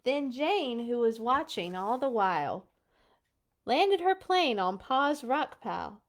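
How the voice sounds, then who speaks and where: A woman's voice: a little high, big pitch swings, slow pace. A woman hosting a children's read-along, reading aloud and adding casual asides.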